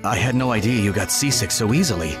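A man's voice: lowish voice, expressive, fast, over music, close to an everyday voice. A man voicing a concerned, practical ninja companion, matter-of-fact, in an anime game dub.

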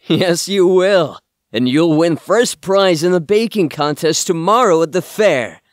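A man playing a bookish young misfit and loyal son in an audio drama, earnest and expressive. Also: high-pitched, a little slow, dry recording, clean studio sound. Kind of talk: amateur audio drama acting.

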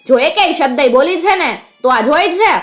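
A woman's voice: fairly high pitch, normal speed, soft background music, natural voice. A woman voicing a proud, volatile male outlaw chief in a folk tale, with a Kathiawadi Gujarati accent and blustering manner. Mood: threatening. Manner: blustering, roaring threats.

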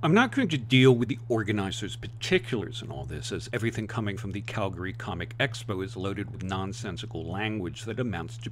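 A man's voice: on the low side, slight background noise. An American man delivering impassioned, emphatic scripted commentary with rhetorical flourishes. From United States. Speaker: online commentator.

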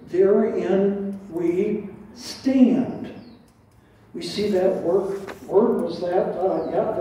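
A man's voice: high-pitched, mellow. An older man preaching a sermon in a conversational way, asking his congregation questions and adding asides. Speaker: pastor. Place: large dim church hall with stage. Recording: roomy and dull from far off.

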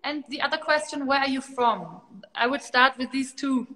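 A woman's voice: sits high, normal speed, pushed and bright, in a large hall. A woman in her thirties with a German accent, hosting a livestream interview as curator, friendly with many ums. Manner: friendly, filler-heavy introductions. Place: large open gallery space, indoors.

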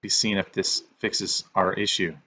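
A man giving step-by-step instructional narration as a repair technician, in a halting, plain-spoken way. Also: low voice, little pitch movement, background noise. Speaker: repair technician presenting a tutorial.

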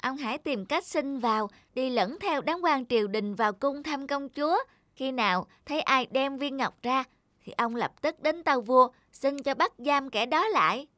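A woman's voice: high voice, lively intonation, moderate pace, fairly bright tone, dry recording, pitched above the performer's own voice. A woman voicing a wise, shrewd little toad spirit who gives instructions and admonishes in a cartoon folk tale. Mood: scheming, eager.

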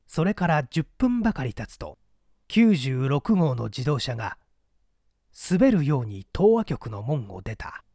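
Expressive male storyteller with lively pitch. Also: a little slow, no room sound, clean studio sound. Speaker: audiobook reader, small-theatre actor. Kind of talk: dramatic scripted narration of a mystery story.

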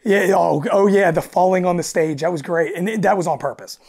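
A man with a raspy voice, talking casually as a podcast guest and telling stories with acted-out asides. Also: high-pitched, fairly quick, a little mellow. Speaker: guest, alternative country singer and frontman.

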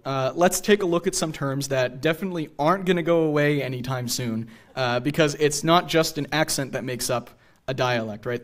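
A young man with an American accent giving a lecture with casual asides at a theater podium microphone. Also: medium pitch. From New England, USA. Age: young adult. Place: theater stage.

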